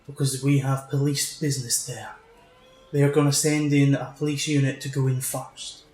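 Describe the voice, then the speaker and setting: An actor playing a defiant military commander with a Scottish accent, brash, sardonic and commanding, in an audio drama. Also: male voice, fairly high pitch, echoey room, natural voice, poor audio. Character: gruff, defiant military commander, combative and mocking.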